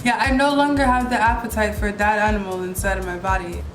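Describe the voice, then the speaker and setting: A woman's voice: medium pitch, steady tone, fast, over music. A young American woman vlogging casually about travel and healthy living, chatty and gushing as she rambles between topics. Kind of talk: casual vlog chat. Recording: roomy echo.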